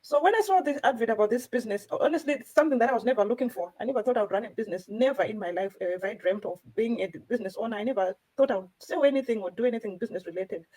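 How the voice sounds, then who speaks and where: A woman talks quickly in a fairly high voice as co-host of a panel. She shares personal experience with earnest emphasis. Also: darkish tone, poor audio. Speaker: co-host and business owner. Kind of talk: personal account on a discussion panel.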